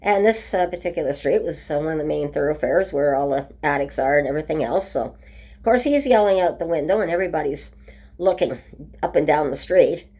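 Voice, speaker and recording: Low-voiced woman with a rough cold. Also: brisk, warm tone. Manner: chatty, many ums and restarts. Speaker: vlogger. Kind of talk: casual home video update. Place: at home by a window.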